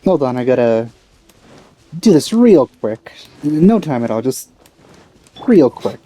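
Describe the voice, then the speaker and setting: Mellow man doing offhand gameplay commentary. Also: mid-range voice, big pitch swings, takes it slowly. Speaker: let's play commentator.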